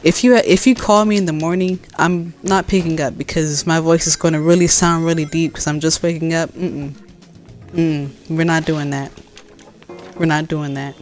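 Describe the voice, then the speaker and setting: A woman from California with a deep voice, chatting casually as she plays a game and answers her chat, rambling with many fillers and restarts. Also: fairly even intonation, normal speed, darkish tone, soft background music. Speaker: gaming streamer.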